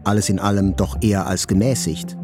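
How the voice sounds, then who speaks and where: An actor playing a journalist who soberly recalls his own life in measured literary narration. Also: sits low, fairly even intonation, close to an everyday voice. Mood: sober, dry. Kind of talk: literary first-person narration in audio drama. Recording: faint music behind the voice.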